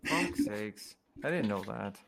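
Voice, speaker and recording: Bright-voiced, crude, swearing podcast co-host. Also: male voice, fairly low pitch, expressive, slow, gravelly. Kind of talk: casual podcast banter.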